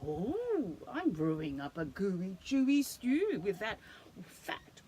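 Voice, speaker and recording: A woman voicing a boastful, excitable male dingo cook in a storybook, with a sing-song, gleeful delivery. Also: mid-range voice, slow pace, slight background noise.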